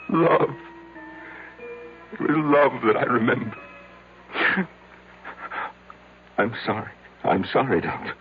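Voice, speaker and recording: A deep-voiced older man confesses something cruel, haunted and lonely. He halts, repeats words and pauses dramatically. The recording is dull vintage radio drama with music behind. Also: exaggerated voice. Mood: tearful, anguished. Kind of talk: old-time radio drama acting, first-person confession.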